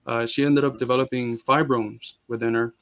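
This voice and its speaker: A man's voice: medium pitch, flat delivery, normal speed, fairly bright tone. Earnest young man from Cuba. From Cuba, raised in Miami. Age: young adult. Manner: earnest, frequent restarts and fillers. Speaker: guest. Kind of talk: casual interview conversation.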